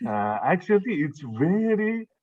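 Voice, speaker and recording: A man's voice: high-pitched, sing-song, a little slow, no echo. A man asking questions at a student conference, speaking hesitantly with non-native phrasing and many fillers. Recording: dry tinny phone-like line.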